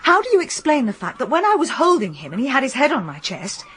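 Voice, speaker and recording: An actress playing a suspicious, perceptive hotel manager's wife with a breathy, raspy voice in a radio drama. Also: female voice, high voice, huge pitch swings, fast talker, a bit bright, full character voice.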